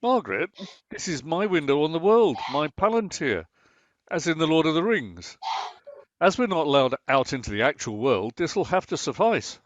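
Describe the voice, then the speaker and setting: An actor playing a defensive, pedantic middle-aged husband in an amateur radio play, who stammers when caught out. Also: male voice, fairly high pitch, very animated, normal speed, no echo. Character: Martin, a bored middle-aged husband obsessed with online tank games. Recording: slightly muffled, lo-fi.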